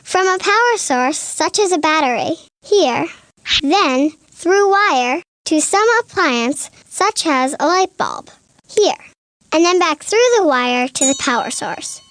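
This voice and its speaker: A cartoon science expert with a notably high voice and a deadpan delivery. The explanations come out dry and instructive, in a close, dry recording. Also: takes it slowly, no echo, full character voice. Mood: matter-of-fact. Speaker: expert witness. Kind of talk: animated comedy dialogue, explaining science.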